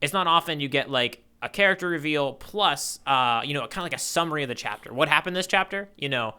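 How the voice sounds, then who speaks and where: A man co-hosting a podcast, chatting casually about comics in an animated, enthusiastic way. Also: on the high side, quick pace, smooth. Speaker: podcast co-host. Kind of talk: casual manga discussion.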